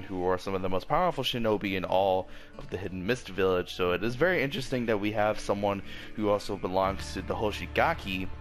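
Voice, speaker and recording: A man with a very deep voice and a sore throat talks through an episode review. He rambles casually, stumbling over restarts and fillers, while soft background music plays underneath. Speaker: anime reviewer.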